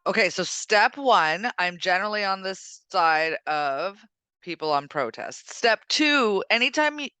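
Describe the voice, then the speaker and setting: A woman's voice: medium pitch, expressive, measured pace, bright, forceful tone, clear, resonant tone, no echo. A woman co-hosting a current affairs chat, casual and opinionated, arguing emphatically point by point. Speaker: co-host of a weekend current affairs show.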